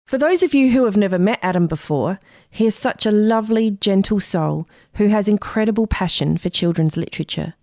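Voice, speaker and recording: A woman's voice: medium pitch, animated, brisk, a little mellow. A woman hosting a podcast, speaking conversationally as she interviews a guest.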